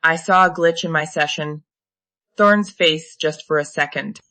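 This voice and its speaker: A synthetic female voice playing a sharp, troubled undercover agent in a screenplay table read. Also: sits low, unhurried, no echo. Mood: alarmed.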